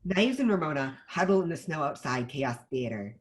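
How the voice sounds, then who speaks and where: A woman reading stage directions as scripted narration at a table read, in an animated manner. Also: low voice, normal speed.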